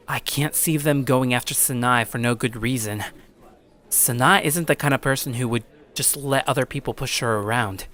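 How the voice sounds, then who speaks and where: A man acting as a blunt high school boy, narrating his own story and speaking dialogue in an earnest, tough way. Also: sharp tone, close to an everyday voice. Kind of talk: first-person dramatic narration and dialogue.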